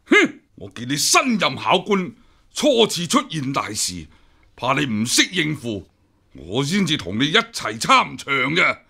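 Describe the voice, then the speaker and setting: A senior imperial examiner in a Cantonese radio drama, with a Hong Kong accent. The voice is husky and forceful with very lively pitch. He speaks formally and smoothly, guarded and easily offended. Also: a little slow, full character voice. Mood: indignant.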